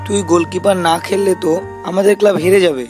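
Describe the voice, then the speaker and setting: A young village football teammate in a cartoon , played by a man. He is informal, questioning and pleading in a fairly high voice, with music clearly behind. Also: steady tone, fairly quick, darkish tone, natural voice.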